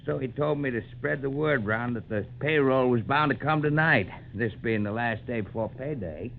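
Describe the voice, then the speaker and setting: A man's voice: mid-range voice, moderate pace, gravelly, natural voice. Obliging young frontier sidekick, folksy and eager, male actor, vintage radio play, narrow noisy recording. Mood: eager, pleased. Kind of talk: 1940s radio drama acting.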